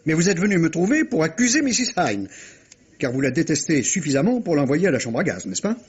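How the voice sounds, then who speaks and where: A man playing a shrewd, sardonic, authoritative police inspector in 1950s French radio mystery style, questioning deliberately. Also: a little high, big pitch swings, husky, full character voice. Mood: pressing, dry. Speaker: actor. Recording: dull vintage radio recording.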